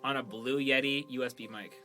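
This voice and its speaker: A man's voice: mid-range voice, steady tone, fairly quick, bright, forceful tone, soft background music. A man hosting a podcast in casual chat, speaking in an emphatic, plain-spoken, informal way. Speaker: podcast host and audio drama producer.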